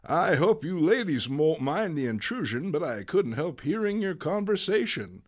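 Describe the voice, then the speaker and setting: Man putting on an assertive high-voiced feminist woman. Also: big pitch swings, normal speed. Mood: polite. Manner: emphatic, declarative, formal. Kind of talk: comic book dramatic reading.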